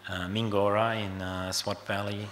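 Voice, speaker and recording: An older man from Australia talks his way through a slide show, pausing on fillers and letting phrases trail off. His voice is low and even, with a bright edge. The sound rings in a roomy hall with faint noise behind it. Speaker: photographer giving an artist talk. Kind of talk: unscripted slide talk.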